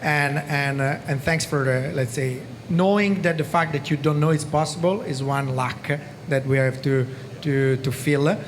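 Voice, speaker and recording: A man's voice: fairly high pitch, unhurried. A man in his thirties from Italy with an Italian accent, speaking on a conference panel into a handheld mic, earnest and repeating "okay?" often. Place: large conference hall stage. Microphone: handheld microphone. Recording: reverberant hall with background noise.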